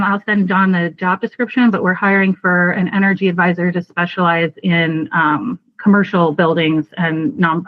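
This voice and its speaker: A woman's voice: fairly low pitch, no echo. Woman on a tinny phone-like line. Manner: hesitant, many fillers. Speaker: clean energy program staffer. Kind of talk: meeting update.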